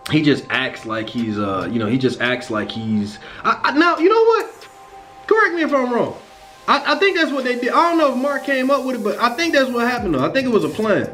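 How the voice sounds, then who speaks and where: An American man giving casual, animated fan commentary in slangy, profane, conversational speech, acting out lines as he retells a story. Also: fairly high pitch. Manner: animated, conversational, acts out lines and sound effects. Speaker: host of a comics and animation channel.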